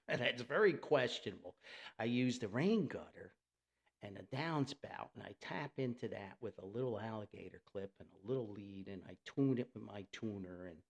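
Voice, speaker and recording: Animated, gravelly man telling radio stories. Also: lowish voice, normal speed. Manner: enthusiastic, folksy storytelling. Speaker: podcast host and radio hobbyist. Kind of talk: casual unscripted podcast monologue.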